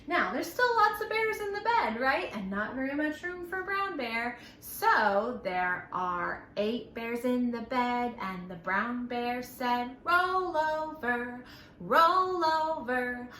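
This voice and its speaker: A woman's voice: a little high, very animated, takes it slowly, few pauses, a bit bright, slight background noise. A woman leading a children's storytime, talking in an exaggerated singsong way as if to small children. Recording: echoey room.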